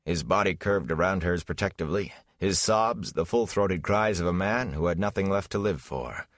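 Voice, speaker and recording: A man narrating a fantasy audiobook in a solemn, measured, dramatic style. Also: low voice, dry recording, clean studio sound.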